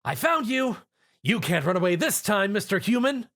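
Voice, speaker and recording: A man voicing an excitable, gushing young female pony in an animated storybook reading. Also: high-pitched, moderate pace, pitched above the performer's own voice. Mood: triumphant excitement. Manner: gushing, eager. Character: excitable, apologetic young female pony, giddy human enthusiast.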